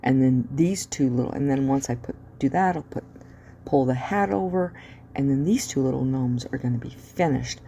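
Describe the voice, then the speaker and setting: A woman's voice: rumbling low, moderate pace, warm tone, lo-fi sound, slight background noise. A woman hosting a craft tutorial, chatting casually as she works, with a sniffly nose and a rambling delivery full of ums and uhs. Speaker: craft channel host.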